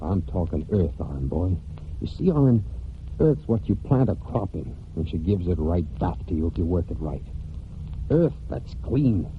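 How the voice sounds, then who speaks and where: A man's voice: low voice, very animated, moderate pace, a little hiss or hum. An actor playing a plain-spoken, proud farmhand with folksy rural speech, telling his story in a 1940s radio drama. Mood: warm, earnest. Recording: dull old 1940s radio broadcast.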